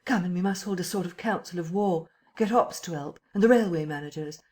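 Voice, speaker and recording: A woman reader voicing a decisive police chief, a man who speaks in brisk, clipped orders. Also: lowish voice, a little mellow, pitched above the performer's own voice, natural voice.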